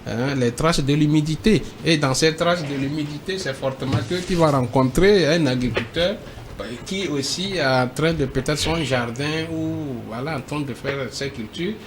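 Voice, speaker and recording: A man speaking as a debate panelist, halting and repeating himself but emphatic. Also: a little high, background noise. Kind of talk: debate commentary.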